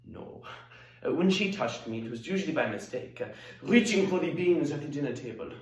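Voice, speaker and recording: 男性が演じる芝居がかった皮肉屋の女性キャバレー歌手ヘドウィグ、反響する部屋. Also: male voice, mid-range voice, distant mic, natural voice. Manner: theatrical, coy, drawn-out pauses. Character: flamboyant, wry East German female cabaret singer telling her life. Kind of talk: dramatic stage monologue. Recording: echoey room.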